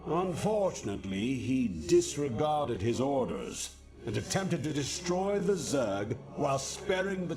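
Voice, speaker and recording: An actor playing a stern, haughty alien judicator in a video game, speaking formally and pompously. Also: male voice, mid-range voice. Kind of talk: scripted sci-fi video game briefing. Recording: loud game music behind.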